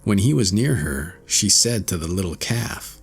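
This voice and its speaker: A man reading a classic fairy tale as an audiobook narrator, in a measured storytelling manner. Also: low voice, animated, husky, faint music behind.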